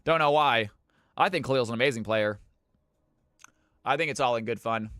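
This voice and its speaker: A man streaming live and hosting viewer games, chatting casually with his audience. His voice is bright and smooth, and it is recorded dry and close. Every so often he launches into a loud hype-announcer voice. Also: mid-range voice, normal speed, no room sound. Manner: hype announcer bursts between easygoing chat.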